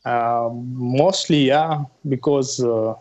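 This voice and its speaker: A young Pakistani man with a mellow, dark voice answers hesitantly, filling pauses with soft "uh"s. His audio comes through dull, lo-fi earphone call sound. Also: medium pitch, fairly even intonation. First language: Pashto. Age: 20s. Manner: hesitant, many uh fillers, soft-spoken. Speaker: guest, drone pilot. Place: plain room indoors. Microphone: earphone mic on video call.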